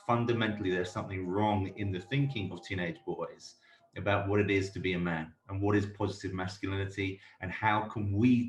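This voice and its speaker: Deep-voiced man, distant and roomy. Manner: earnest, with frequent fillers. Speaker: panelist from a sport-based violence prevention group. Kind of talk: online panel talk. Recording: roomy, distant video call audio.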